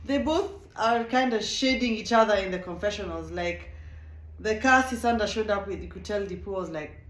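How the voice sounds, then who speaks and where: A woman's voice: medium pitch, normal speed, echoey room. A young Kenyan woman chattily reviewing a reality show, correcting herself often. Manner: chatty and animated, with frequent self-corrections. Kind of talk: casual video review.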